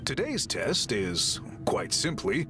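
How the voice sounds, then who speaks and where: A courteous, earnest chief of a tribe in a fantasy video game. His delivery is measured and formal, yet the pitch moves with plenty of expression. Music plays clearly behind his voice. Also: huge pitch swings, exaggerated voice. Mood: reassuring, polite. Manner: formal, measured, deliberate phrasing. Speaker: game character voice actor. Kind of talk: scripted video game dialogue. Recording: background music under the voice.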